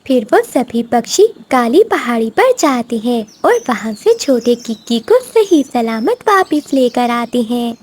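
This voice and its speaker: A woman's voice: a little high, big pitch swings, a little slow, hardly any pauses, a little mellow, breathy edge. A woman narrating a scripted cartoon moral story in storybook style.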